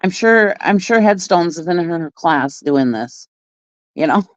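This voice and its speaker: Chatty, rambling woman with a low voice. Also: lively intonation, measured pace, no room sound. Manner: chatty, rambling, laughs often. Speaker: channel host reacting to clips. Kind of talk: casual livestream commentary. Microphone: phone. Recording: dry, dull lo-fi sound.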